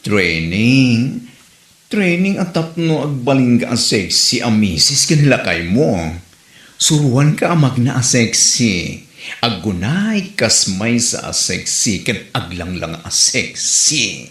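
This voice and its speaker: A man's voice: medium pitch, very animated, slow pace, a bit bright, reverberant. A man playing a sassy parlor beautician in an Ilocano comedy drama, with an Ilocano Filipino accent. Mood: coaxing. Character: sassy parlor beautician selling makeover training.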